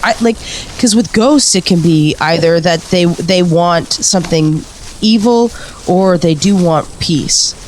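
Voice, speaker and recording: An actress playing an eager, earnest ghost investigator in an audio drama, talking in a hesitant yet insistent way. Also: female voice, on the low side, measured pace, darkish tone, a little hiss or hum, lightly acted. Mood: earnest, concerned. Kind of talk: audio drama acting, naturalistic interview dialogue.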